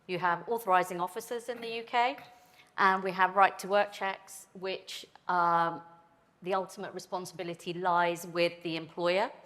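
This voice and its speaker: Low-voiced woman in a reverberant hall. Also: steady tone, fairly bright tone. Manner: measured, explanatory. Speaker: immigration panelist. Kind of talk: conference panel answers. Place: conference hall panel.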